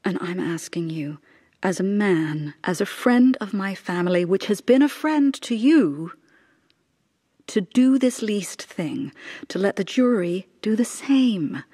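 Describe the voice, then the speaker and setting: An actress playing a proud, desperate middle-aged mother in a radio drama, speaking in halting, deliberate, insistent phrases. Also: mid-range voice, expressive, mellow, slightly rough, no room sound, clean studio sound.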